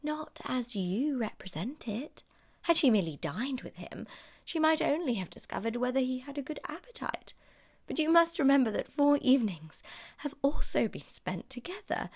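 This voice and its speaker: A woman voicing a pragmatic, guarded young female friend in an audiobook novel, measured and coolly reasoning. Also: high voice, animated, voice pushed up. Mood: matter-of-fact. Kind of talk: audiobook character dialogue.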